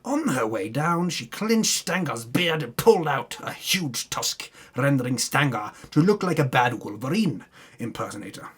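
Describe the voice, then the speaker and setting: A man's voice: mid-range voice, big pitch swings, moderate pace, husky. A man giving a dramatic, tongue-in-cheek reading of a fantasy game recap, breaking off into muttered asides. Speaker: narrator recapping a tabletop game campaign.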